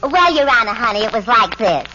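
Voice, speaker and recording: High, swooping flirty femme fatale. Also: female voice, big pitch swings, fairly quick. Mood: coy, flirtatious. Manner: flirtatious, coy, calls men honey. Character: flirtatious glamorous femme fatale witness, sultry and coy. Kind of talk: 1940s radio comedy acting. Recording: muffled, noisy old radio recording.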